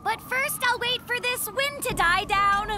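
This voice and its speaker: A woman's voice: extremely high pitch, a little slow, heavy background noise. A woman voicing a cautious boy polar bear cub who is afraid of heights, hesitant and nervous. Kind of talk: cartoon voice acting. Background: music.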